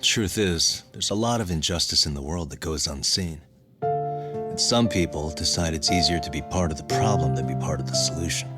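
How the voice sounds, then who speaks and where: A low, calm voice of a protective detective acted by a man in a video game drama. He sounds casual and reassuring, with loud plucked guitar music underneath. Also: moderate pace, lightly acted. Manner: easygoing, reassuring. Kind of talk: English video game dub acting. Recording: prominent guitar music behind.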